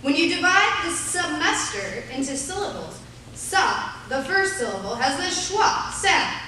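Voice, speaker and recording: A woman's voice: high voice, big pitch swings, measured pace, pushed and bright, exaggerated voice. An actress playing a haughty, impatient woman schoolteacher in a live radio play, dismissive and scolding. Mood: stern, brisk. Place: live on a theater stage. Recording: very echoey live hall, distant, faint noise.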